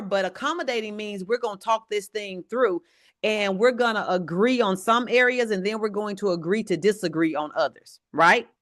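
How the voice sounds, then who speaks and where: A woman, an evangelist teaching a church leadership lesson in a loud voice. She speaks to the audience directly and checks in with them often. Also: a little high. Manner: says she has a very loud voice; talks to the audience directly, often asking "right?".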